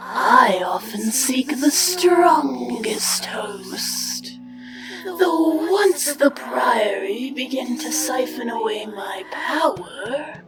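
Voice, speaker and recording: Ancient whispering bog spirit. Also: female voice, sits high, far from the mic, full character voice, poor audio. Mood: calm, implacable. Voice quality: whispered, breathy. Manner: measured, detached, cryptic. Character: ancient entity of the bog, calm, implacable, otherworldly power. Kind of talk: audiobook character acting.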